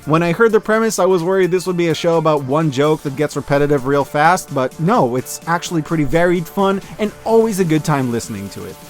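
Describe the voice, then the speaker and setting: A man giving scripted video-essay commentary as an online reviewer, enthusiastic and expressive with wry asides. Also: fairly high pitch, a little fast, few pauses, soft background music. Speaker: online media reviewer and host.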